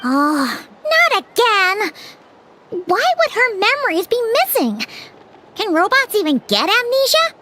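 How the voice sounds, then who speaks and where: A woman voicing a tiny floating girlish companion who is bubbly, blunt and quick to exclaim and tease. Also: extremely high pitch, very animated, bright, forceful tone, exaggerated voice. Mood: curious. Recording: game audio with background noise.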